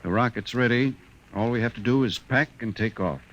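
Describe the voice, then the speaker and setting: A man's voice: mid-range voice, brisk, fairly bright tone, dull audio, natural voice. A man playing a reassuring, decisive father and husband in a radio drama.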